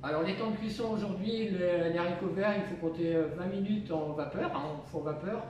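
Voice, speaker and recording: Middle-aged canteen cook talking to kids, lots of euh, kinda high voice, bit of background hum. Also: male voice, a little fast, a little mellow, poor audio. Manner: plain, patient, with many fillers. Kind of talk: explaining his work to children. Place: school canteen kitchen and dining room. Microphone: camera held by children nearby.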